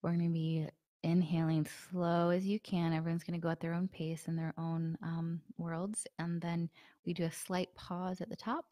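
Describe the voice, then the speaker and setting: A woman with a low voice leads a guided meditation, her throat still a little sore and hoarse. She talks softly with plenty of fillers and slips into a breathy near-whisper. The sound is close and dry, with no room around it. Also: normal speed, no echo. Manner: soft, relaxed, many fillers. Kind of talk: guided meditation with a live group.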